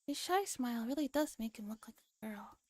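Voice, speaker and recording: A woman streamer with a soft, breathy, often whispering voice, reading a story and chatting casually in a relaxed ASMR style. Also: fairly high pitch, brisk, dry recording. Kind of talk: ASMR visual novel read-aloud with casual chat.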